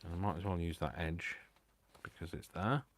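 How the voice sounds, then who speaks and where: Male crafting host, very low voice, self-mocking running chatter, faint noise floor. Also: normal speed. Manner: self-mocking, talks to himself. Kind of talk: live crafting stream, chatty self-talk.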